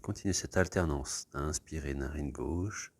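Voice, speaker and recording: A man leading a yoga class, giving calm, unhurried guided instructions for body awareness and breathing. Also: a bass voice, no room sound.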